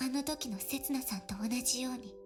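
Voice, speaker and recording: A woman's voice: sits high, rapid-fire, lightly acted. An actress playing an earnest young woman in a Japanese visual novel, telling of something distressing. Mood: grave, earnest. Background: prominent music.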